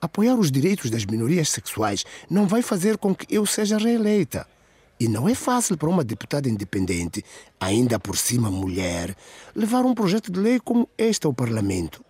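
A man's voice: a little high, huge pitch swings, moderate pace, darkish tone, husky, no room sound, voice pushed up, full character voice. A man voicing a composed, resigned female member of parliament in a radio drama, speaking formally. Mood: firm, reasoning.

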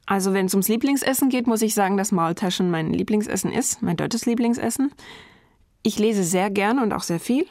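A woman in her thirties from Germany, a German teacher answering portrait interview questions in a thoughtful, measured way, enthusiastic about teaching. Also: medium pitch, darkish tone, dry recording, clean studio sound.

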